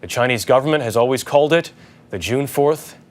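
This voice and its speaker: A man's voice: medium pitch, fairly quick. A man reading scripted broadcast news as an anchor.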